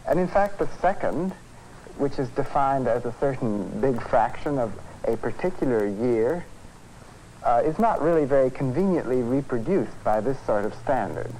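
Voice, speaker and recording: An old educational film soundtrack with faint noise carries a man's mid-pitched voice. He lectures as a physics professor, explaining and demonstrating in a measured but conversational manner. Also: expressive, warm tone, rough recording. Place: film studio.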